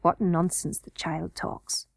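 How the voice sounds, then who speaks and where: A woman voicing a brave, practical young boy miner, hushed, cautious and matter-of-fact, in a children's storybook reading. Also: medium pitch, moderate pace, breathy edge, no room sound.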